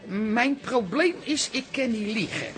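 A woman's voice: fairly high pitch, animated, a little slow, faint music behind. A woman playing a chatty, cheeky older aunt with a Dutch accent in a comic radio play, folksy and gossipy. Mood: evasive.

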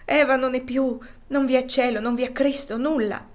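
A woman's voice: on the high side, normal speed, close to an everyday voice. A woman voicing a grieving male plantation master full of doubt, in an anguished, questioning tone, as in an audiobook. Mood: despairing. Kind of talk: audiobook character reading.

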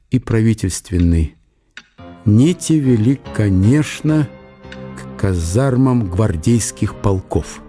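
A man giving a measured, storytelling narration of a literary radio drama. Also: medium pitch, mellow. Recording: music under the narration. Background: music.

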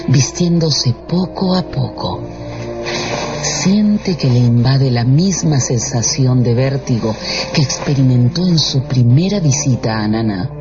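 Male radio drama narrator over music. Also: mid-range voice. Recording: dull lo-fi broadcast with music behind.

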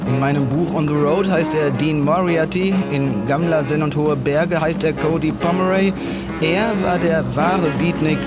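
A man's voice: on the high side, natural voice. An actor playing a wandering male Beat writer, declaiming his recollections in a scripted radio drama. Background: loud music with guitar.

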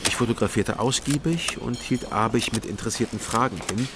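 An actor in a radio drama playing a dry, guarded East German investigator, speaking in an understated, matter-of-fact way. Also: male voice, medium pitch, fairly quick, background noise, close to an everyday voice. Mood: detached, reflective. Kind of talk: radio drama first-person narration and dialogue. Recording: loud music behind the voice.

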